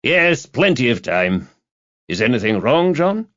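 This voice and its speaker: A man voicing a hearty, chatty male police detective sergeant in an audiobook, with an animated, blokey manner. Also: mid-range voice, unhurried, muffled recording, pitched above the performer's own voice. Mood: chatty, teasing.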